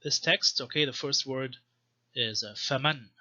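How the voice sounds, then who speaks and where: Bright, sharp-voiced man lecturing haltingly. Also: medium pitch, highs cut off. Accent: non-native Arabic accent when reading Arabic. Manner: hesitant, pausing, careful word-by-word explanation. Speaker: language teacher, presenter of a video series. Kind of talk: explanatory lecture with read-aloud text samples.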